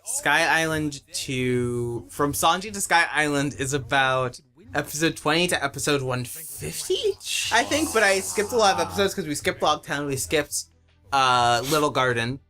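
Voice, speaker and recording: A man with a bright, forward voice pitched a little high. He chats casually in a group commentary, repeating and reworking his phrases in a halting way. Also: expressive, soft background music. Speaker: editor of a fan abridged anime series.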